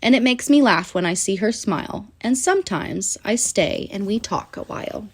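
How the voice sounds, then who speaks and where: Female children's book narrator, mid-pitched and very lively, sing-song rhyming read-aloud with deliberate pauses. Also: breathy edge.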